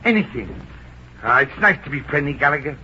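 An actor playing a blustering, guilty, hard-drinking Irishman who stammers and shouts, with an Irish accent, in old film drama style. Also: medium pitch, lively intonation, brisk. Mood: drunken, rambling. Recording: dull, noisy old film soundtrack.

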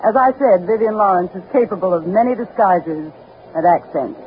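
A woman's voice: medium pitch, lively intonation, normal speed, a little hiss or hum, close to an everyday voice. Actress as a tinny old-radio cool female spy. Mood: menacing, controlled. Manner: clipped, commanding, politely menacing. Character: cool, ruthless female spy posing as a government agent. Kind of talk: old-time radio drama acting.

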